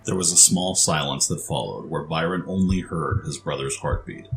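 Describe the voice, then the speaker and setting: A man's voice: very deep voice, normal speed, slight background noise, poor audio. A man reading scripted story narration as the narrator.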